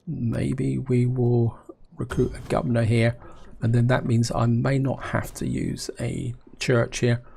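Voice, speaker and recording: Hoarse man musing over a strategy game. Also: medium pitch, warm tone. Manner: musing, self-questioning, talks to viewers. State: hoarse from a cold. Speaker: gameplay commentator. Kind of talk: thinking-aloud strategy game commentary.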